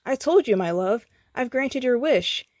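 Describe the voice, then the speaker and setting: A woman's voice: fairly high pitch, expressive, unhurried, a little mellow, no room sound, clean studio sound, pitched above the performer's own voice. A woman voicing a female guardian angel, a lost sweetheart who speaks tenderly and solemnly.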